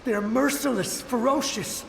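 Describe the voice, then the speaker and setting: A man's voice: extremely high pitch, moderate pace, vocal fry, fairly bright tone, full character voice. A man playing a terrified, desperate soldier's ghost in a video game, urgent and dramatic, with a ghostly reverb on his voice. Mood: desperate, panicked. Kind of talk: video game character acting. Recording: heavy reverb, faint noise.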